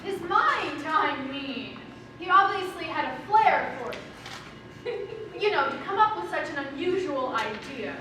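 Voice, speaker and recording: A woman acting a gushing, excitable new mother in a stage comedy, theatrical and exclamatory, recorded in an echoey room. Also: high voice, a little slow, recorded from a distance, noisy background. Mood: prying, mock sympathy.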